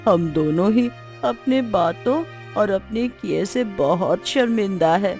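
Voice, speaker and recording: A woman voicing a sorrowful, self-blaming village woman in a cartoon moral tale, with slow, dramatic delivery. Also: mid-range voice, animated, mellow, faint music behind. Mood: remorseful. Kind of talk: Hindi cartoon story voice acting.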